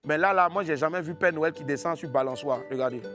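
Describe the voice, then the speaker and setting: Brief: man, TV host, high voice, bouncy delivery, loud music underneath. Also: fast. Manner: animated, repeats co-host's lines back. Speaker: TV show host. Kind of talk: lively studio chat and news banter.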